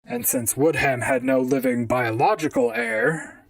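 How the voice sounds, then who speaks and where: Robot gumshoe for audio drama male actor: earnest, questioning, slightly high with fry, a little off-mic. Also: huge pitch swings, a little slow, dry recording, distant mic, full character voice. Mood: narrating. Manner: earnest, questioning, narrates his own cases. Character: earnest, inquisitive robot private detective. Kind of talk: audio drama acting and narration.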